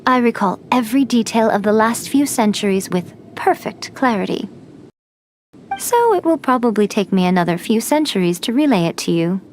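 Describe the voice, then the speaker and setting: A woman voicing a sly, teasing immortal fox spirit shrine maiden in an anime fantasy game, with a silky, deliberate delivery. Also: on the high side, animated, a little mellow, slight background noise, lightly acted. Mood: playful.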